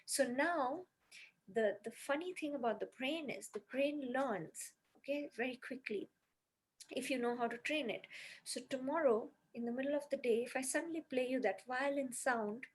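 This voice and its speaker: A woman's voice: sits high, moderate pace, husky. A woman on a podcast earnestly explaining her music healing method step by step, with many "you know"s.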